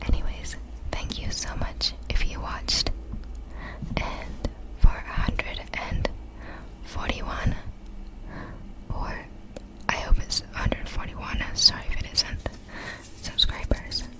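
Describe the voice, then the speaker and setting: Girl whispering facts for asmr, really slow, breathy, kinda halting n apologetic. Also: rough recording. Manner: halting, apologetic reader, stumbles over hard words. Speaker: ASMR video creator.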